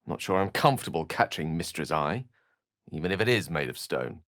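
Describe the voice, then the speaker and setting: A man's voice: low-pitched, very animated, brisk, fairly bright tone, no echo, clean studio sound. A man voicing an articulate, bookish human wizard in a video game, wry and gallant, with theatrical, wordy delivery. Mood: curious, polite. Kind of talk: video game character acting.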